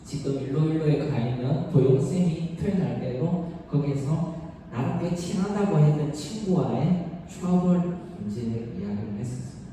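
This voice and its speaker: A man's voice: a little high, warm tone. A man preaching a conversational, informal sermon as a pastor, blunt and slangy, often asking rhetorical questions. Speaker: pastor preaching to young people. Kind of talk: conversational sermon with acted-out quotes. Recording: echoey hall, distant mic, faint noise.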